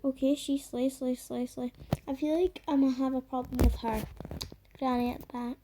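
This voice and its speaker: A woman chatting loosely while playing a game, her voice croaky, full of fillers and trailing murmurs. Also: sits high, flat delivery, normal speed, background noise. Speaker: gamer. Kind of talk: casual gameplay commentary.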